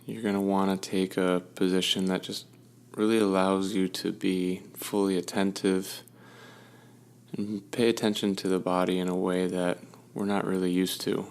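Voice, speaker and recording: Male meditation guide, deep and even-toned, smooth with a creaky edge, soothing, leaving space between phrases. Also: normal speed. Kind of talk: guided meditation, gentle instruction.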